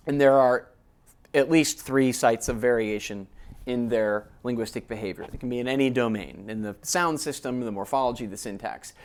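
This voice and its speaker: Man giving a conversational lecture. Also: medium pitch. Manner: checks in with listeners often with "okay?" and "right?"; conversational, explains step by step. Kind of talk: academic lecture.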